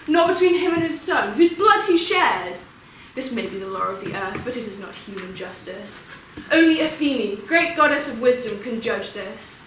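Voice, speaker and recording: An imperious god from Greek tragedy, played by a woman, proud and authoritative. The voice is bright and a little high, declaiming emphatically from a distance in a reverberant room. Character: proud, authoritative god, protective of follower, contemptuous of Furies. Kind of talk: Greek tragedy stage acting. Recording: echoey room, distant mic, faint noise.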